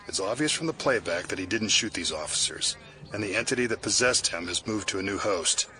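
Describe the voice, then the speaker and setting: An actor playing a gruff, level-headed senior federal official in an audio drama, measured and authoritative. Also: male voice, on the low side, lively intonation, bright, forceful tone. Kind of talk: naturalistic audio drama acting.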